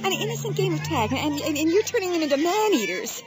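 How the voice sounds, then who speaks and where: A woman's voice: very high-pitched, very animated, quick pace. An actress playing a reasonable but exasperated American wife and mother in an old-time radio drama, coaxing and insistent.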